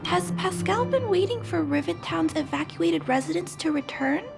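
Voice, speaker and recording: A woman voicing a kind, polite young girl who gently thinks aloud with questions, in a video game cutscene dub. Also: very high-pitched, lively intonation, moderate pace, vocal fry, full character voice. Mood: thoughtful. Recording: game music under the voice.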